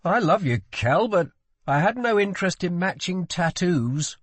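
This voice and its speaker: A man's voice: high voice, lively intonation, measured pace, husky, no echo, voice pushed up, heavily acted. A man voicing a wounded young prince in an audiobook, petulant and dramatic, playful yet weighed down by guilt. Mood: wry, dark. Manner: scornful, dramatic, demanding.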